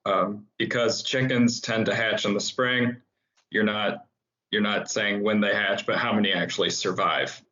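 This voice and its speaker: A man student giving a measured explanation in class with occasional ums. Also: fairly low pitch, fairly even intonation, pushed and bright, dull audio.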